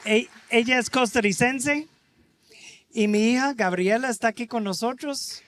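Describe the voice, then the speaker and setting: A middle-aged American man, a native English speaker, preaching as a guest in halting Spanish with frequent restarts. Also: extremely high pitch, fairly bright tone, a little hiss or hum. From United States. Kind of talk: sermon.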